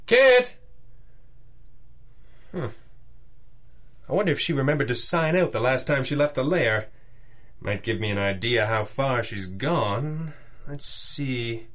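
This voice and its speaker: Slow, musing pulp hero. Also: male voice, medium pitch, expressive, fairly bright tone. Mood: calling out. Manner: musing aloud, deliberate. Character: masked male pulp hero, thoughtful detective. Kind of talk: radio drama monologue.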